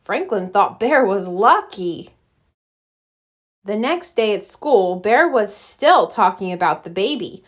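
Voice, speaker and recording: A woman reading a children's story aloud in an expressive, scripted storytelling style. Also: medium pitch, takes it slowly. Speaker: storybook reader.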